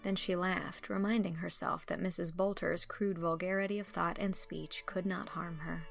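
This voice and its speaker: Female audiobook narrator with creaky edge. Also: lowish voice, steady tone, moderate pace, soft background music. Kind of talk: scripted literary narration.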